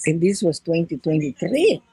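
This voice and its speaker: A woman's voice: fairly low pitch, very animated, normal speed, mellow, slightly rough, no room sound. A woman in her seventies with a Filipino accent, chatting casually with her daughter and reminiscing wistfully about her hometown. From Philippines. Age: 70s. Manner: wistful, reminiscing, short replies. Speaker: vlogger's mother. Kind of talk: casual chat.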